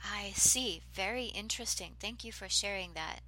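A woman hosting an English learning podcast, speaking in a gentle, measured and encouraging way as she introduces and interviews a guest. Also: mid-range voice. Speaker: podcast host. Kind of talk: scripted intro and interview questions.

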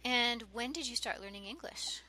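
A woman hosting a podcast, asking a guest clear, simple questions slowly. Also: a little high, bright, forceful tone, low-quality recording. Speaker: podcast host. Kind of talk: interviewing a language learner.

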